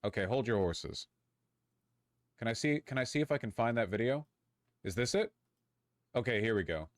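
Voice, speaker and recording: A man's voice: medium pitch, animated, normal speed, dry recording, clean studio sound. A man streaming casually, thinking aloud as he chats. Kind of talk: casual livestream chat.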